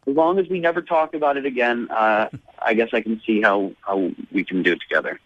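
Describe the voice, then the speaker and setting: A man's voice: mid-range voice, fairly quick, a crowd in the background. A man speaking as a reluctant, defensive caller on a radio show, insistent and aggrieved, over the phone. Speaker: radio call-in guest. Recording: dull band-limited phone call on radio.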